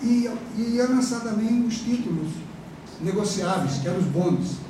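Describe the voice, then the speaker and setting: A man's voice: sits high, normal speed. A man with a Brazilian accent lecturing a university class in a chatty, rambling way, full of fillers and tag questions. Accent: Brazilian Portuguese. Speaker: professor. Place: large classroom. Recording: echoey classroom from far off, noisy.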